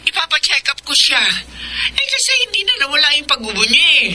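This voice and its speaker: An actress playing a worried mother on the phone, gentle and motherly, in classic radio drama style. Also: high-pitched, sing-song, brisk, a little hiss or hum, exaggerated voice, low-quality recording. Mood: concerned. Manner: gentle, soft-spoken, motherly.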